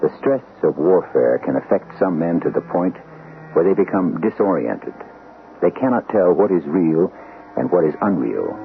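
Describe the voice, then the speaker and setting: A man hosting a radio mystery drama with measured, theatrical, ominous scripted narration. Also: rumbling low, slight background noise. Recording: muffled narrow-band old radio broadcast. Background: music.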